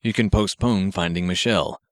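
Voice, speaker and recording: Skeptical, worried heavyset townsman, played by a male narrator. Lower pitch, matter-of-fact delivery, practical grumbler, dry audiobook sound. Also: moderate pace, no room sound, natural voice.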